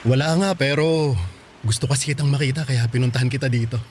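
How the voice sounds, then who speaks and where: A man's voice: mid-range voice, fast talker, a little hiss or hum, close to an everyday voice. An actor playing an insistent, lovesick young male suitor in a Filipino radio drama. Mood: wounded, pleading. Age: young adult. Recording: loud music under the voice.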